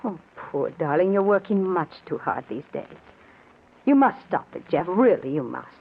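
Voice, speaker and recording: An anxious wife in a vintage radio drama, tender and secretive. Her delivery is gentle and emotive. The recording is dull, with a faint hiss. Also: fairly low pitch, very animated, normal speed, gravelly. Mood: tender concern. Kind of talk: old-time radio drama acting.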